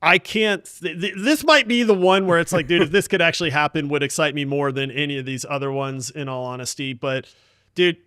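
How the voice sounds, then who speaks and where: A man hosting a gaming podcast in casual chat, excitable and slangy, often calling people dude. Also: on the high side, fairly quick, smooth, no room sound.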